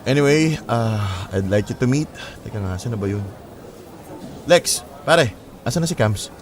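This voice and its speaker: A man's voice: mid-range voice, expressive, unhurried, a little hiss or hum, lightly acted. A man playing a confident, friendly success in a radio drama, teasing in an easygoing way with bits of English. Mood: teasing, casual. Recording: music bed under the voice.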